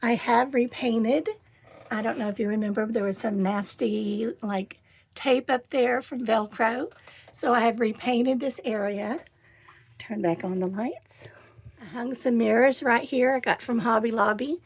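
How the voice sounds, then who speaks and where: A middle-aged woman with a hoarse voice, chatting casually as she gives a tour of her camper van. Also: fairly high pitch. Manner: chatty and enthusiastic, pausing while showing things. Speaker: vlogger. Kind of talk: casual home tour vlog. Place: inside a small camper van.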